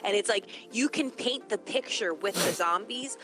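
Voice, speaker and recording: A woman's voice: mid-range voice, lively intonation, moderate pace, fairly bright tone. A woman in a casual livestream discussion, explaining her analysis earnestly and at length.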